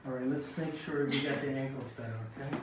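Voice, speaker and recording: A man's voice: mid-range voice, steady tone, quick pace. A middle-aged man, a chiropractor, explaining a hands-on treatment in instructional, anatomical terms. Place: small treatment room indoors. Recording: echoey room, distant mic, background noise.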